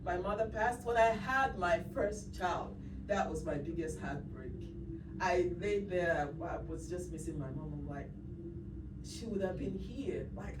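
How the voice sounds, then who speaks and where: A woman's voice: medium pitch, recorded from a distance. A woman giving a conference breakout talk, speaking conversationally with restarts as she thinks aloud. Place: conference room. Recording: roomy sound from off-mic with clear background noise.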